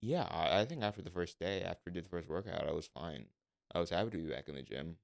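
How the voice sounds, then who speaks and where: A young man co-hosting a podcast in relaxed casual chat, mostly level in tone but bursting into lively swings when he gets passionate. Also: low voice, fast, gravelly, no room sound, studio quality. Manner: says his voice is monotone but jumps around when passionate. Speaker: podcast co-host.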